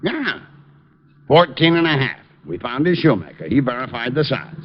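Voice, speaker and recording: An actor as a sardonic American cop, muffled. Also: male voice, mid-range voice, very animated, normal speed, natural voice. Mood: sarcastic explaining. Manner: sarcastic, needling. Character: sardonic, exasperated male homicide cop. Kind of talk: 1940s radio drama acting. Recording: muffled noisy old radio recording.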